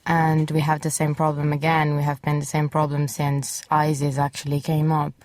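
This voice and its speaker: A woman's voice: sits low, completely flat delivery, moderate pace, darkish tone, no room sound. A young Italian woman, a journalism student, talking on a student radio panel, hesitant and searching for words. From Italy. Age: young adult. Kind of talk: casual panel discussion. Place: small student radio studio.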